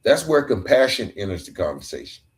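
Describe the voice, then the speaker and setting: A man's voice: on the low side, sing-song, brisk. A middle-aged man hosting his own podcast, delivering an earnest, emphatic monologue with rhetorical questions and a preacher-like rhythm. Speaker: podcast host and author. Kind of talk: reflective solo podcast monologue.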